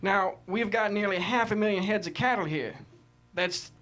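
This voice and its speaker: Man playing proud cattle baron, high-pitched male voice, blunt, folksy, defiant, screenplay table read. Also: moderate pace, a bit bright. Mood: boastful, defiant.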